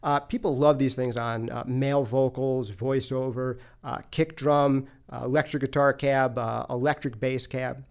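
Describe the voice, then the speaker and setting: A man's voice: medium pitch, fairly even intonation, normal speed, creaky, few pauses, clean tone. A man presenting in a conversational, explanatory way, speaking closely into studio microphones as if reviewing products. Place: recording studio.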